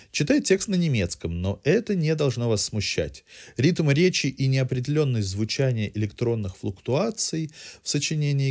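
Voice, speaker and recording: A man's voice: medium pitch, darkish tone. A man hosting a podcast, delivering a semi-scripted cultural review in erudite, literary phrasing.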